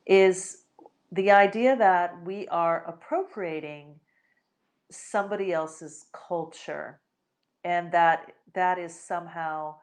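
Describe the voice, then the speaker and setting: A woman with a notably low voice talks unscripted into a close microphone. She drifts from thought to thought, pausing on fillers and starting sentences over, in the easy tone of a spiritual podcast host. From United States. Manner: reflective, meandering with many fillers and restarts. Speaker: podcast host, shamanic healer. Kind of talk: spontaneous spiritual podcast talk.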